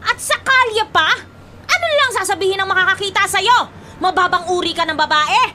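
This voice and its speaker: A woman's voice: very high-pitched, brisk, heavy background noise. A woman playing a strict, easily outraged spinster aunt who scolds indignantly in a Filipino radio drama. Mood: scolding, indignant.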